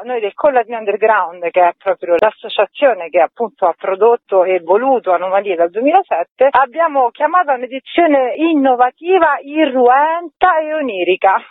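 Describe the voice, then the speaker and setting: A woman, enthusiastic and emphatic, presenting a festival programme as a guest in a radio interview. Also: fairly high pitch. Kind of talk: promotional radio interview, semi-spontaneous presentation. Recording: phone-like, narrow-band radio interview audio.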